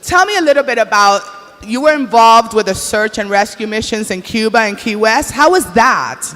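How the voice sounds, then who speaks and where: A woman's voice: on the high side, lively intonation, moderate pace, fairly bright tone. A woman with a Spanish-influenced English accent, hosting an awards show in a bubbly, chatty way. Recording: long hall reverb with faint noise.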